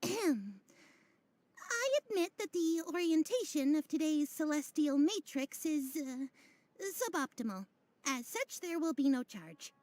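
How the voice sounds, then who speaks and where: A woman's voice: sits high, animated, darkish tone, no room sound. A woman voicing a theatrical female fortune-teller in a video game, grandiose and pompous, with archaic phrasing. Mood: embarrassed, dignified. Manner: archaic, pompous, drawn-out delivery. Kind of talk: animated video game voice acting. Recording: background music under the voice.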